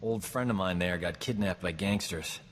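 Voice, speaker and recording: An actor playing a boastful, smooth-talking combat medic in a video game, joking and flattering himself. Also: male voice, low voice, moderate pace, big-room sound, a little hiss or hum, natural voice. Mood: cocky. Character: cocky boastful man, a frontier combat medic. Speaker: companion character in a video game. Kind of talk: video game character dialogue.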